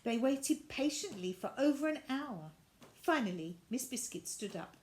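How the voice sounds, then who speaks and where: A woman reading a children's storybook aloud as an expressive narrator. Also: fairly high pitch, moderate pace. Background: added sound effects and faint noise.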